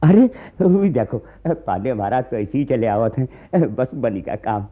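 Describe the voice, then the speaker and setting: An actor playing a poor, humble Indian peasant farmer, with a rural Awadhi accent and a deferential, exclaiming manner, in a radio play. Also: male voice, medium pitch, lively intonation, measured pace. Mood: pondering. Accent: Awadhi, rural north Indian. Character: poor, humble peasant farmer, anxious to please, scolding father. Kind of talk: radio drama acting. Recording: muffled, tinny archive radio recording.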